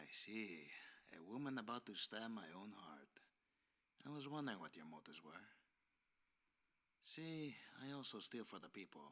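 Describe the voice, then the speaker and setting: A man's voice: on the low side, pushed and bright, heavily acted. A man playing a cocky, flirtatious, easily flustered masked Spanish vigilante with a Spanish accent, in a theatrical, bantering ASMR roleplay drama. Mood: wry teasing.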